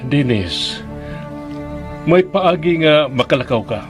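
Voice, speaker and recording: Earnest patient dad, slightly high voice, radio drama w/ music behind. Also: male voice, expressive, takes it slowly. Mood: earnest, pleading. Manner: earnest, reasoning. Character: patient, firm father counselling his son.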